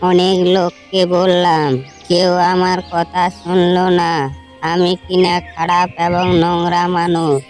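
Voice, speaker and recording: A woman's voice: fairly low pitch, monotone, measured pace, a little mellow, natural voice. A woman puts on an elderly village grandmother for a cartoon. She sounds weary and plaintive but kind, with faint music behind her.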